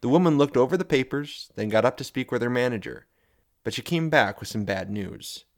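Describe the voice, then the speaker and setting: A man who hosts the show, narrating a true story in a measured, scripted way that builds suspense. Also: mid-range voice, expressive. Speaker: host and narrator.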